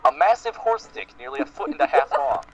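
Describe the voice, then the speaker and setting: A man's voice: high-pitched, sing-song, fairly quick, vocal fry, crowd noise. A man giving an amateur dramatic reading of fan fiction as part of a group. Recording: muffled lo-fi voice-chat recording.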